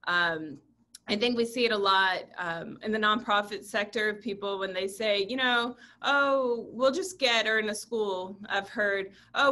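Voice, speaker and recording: Earnest panelist woman, bright voice, speaking over a video call. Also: medium pitch. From Puerto Rico.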